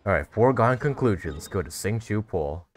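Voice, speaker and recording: A man's voice: fairly low pitch, expressive, fairly quick, soft background music. A man hosting a gaming video, giving casual commentary and musing to himself as he plays.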